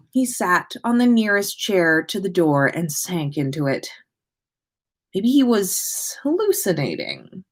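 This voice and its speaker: A woman host reading fan fiction aloud in an expressive way, with small stumbles and self-corrections. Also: lowish voice. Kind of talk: expressive story reading, livestream.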